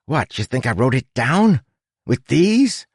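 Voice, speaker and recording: A man's voice: medium pitch, sing-song, measured pace, slightly rough, no echo, clean studio sound, pitched above the performer's own voice, full character voice. A man voicing a small, chatty male rat who is bossy, fussy and theatrical, in a storybook narration. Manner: fussy, dramatic, exasperated.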